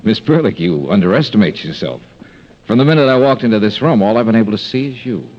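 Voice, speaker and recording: Deep, breezy radio private eye. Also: male voice, expressive, fast talker. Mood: flattering. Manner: smooth-talking, casual, teasing. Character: breezy, wisecracking male private investigator. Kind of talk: 1950s radio detective drama acting. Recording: dull, noisy old-time radio recording.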